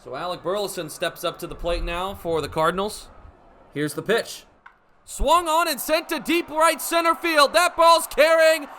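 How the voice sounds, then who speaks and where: A young American man calling baseball play-by-play for radio, with energetic, vivid running commentary. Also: very high-pitched, moderate pace. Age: young adult. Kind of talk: live radio sports commentary. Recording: live broadcast with ballpark background noise. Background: stadium ambience.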